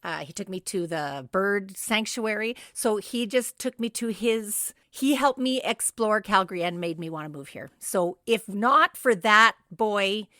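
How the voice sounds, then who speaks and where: A middle-aged woman with a Canadian accent chatting casually as a podcast co-host, telling stories in an animated way. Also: mid-range voice, unhurried, few pauses, no room sound, clean studio sound.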